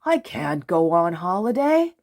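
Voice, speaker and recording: A woman voicing a hurried, excitable cartoon man in a children's storybook, with an expressive, exaggerated delivery. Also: fairly low pitch. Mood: glum. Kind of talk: children's storybook read-aloud.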